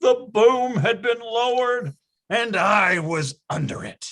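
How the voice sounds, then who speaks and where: A performer plays a nostalgic storyteller narrating a staged comedy. The delivery is grandiose and wry, with big melodramatic swings in pitch. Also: lively intonation, slow pace, a bit bright. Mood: mock-solemn. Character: melodramatic, nostalgic storyteller recalling boyhood schemes. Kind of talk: scripted comic narration.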